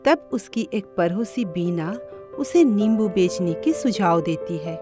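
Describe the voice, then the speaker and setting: A woman's voice: on the low side, expressive, a little slow. A woman narrating a scripted cartoon moral story. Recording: music behind the voice.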